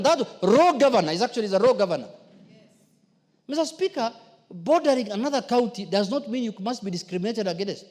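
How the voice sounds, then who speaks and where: A man's voice: extremely high pitch, darkish tone. A Kenyan man, a member of parliament, giving a fiery, indignant floor speech at a desk microphone in a parliament chamber. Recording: long reverb of a large chamber.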